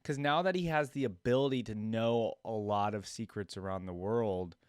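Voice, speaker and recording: A man with a fairly low voice gives relaxed reaction commentary. He thinks out loud and often repeats what he has just heard. The sound is close and dry. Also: normal speed, clear, resonant tone, dry recording. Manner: thinks aloud, repeating lines back. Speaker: reaction video host.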